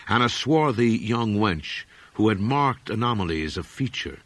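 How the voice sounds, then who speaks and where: Expressive husky man reading a story. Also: on the low side, no echo. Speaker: audiobook narrator. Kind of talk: scripted literary horror story narration.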